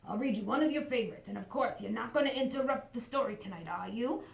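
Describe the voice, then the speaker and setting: Storybook papa rooster played by a woman: patient, weary, gently chiding, roomy recording. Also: on the high side, fast, creaky, slight background noise. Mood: patient, gentle.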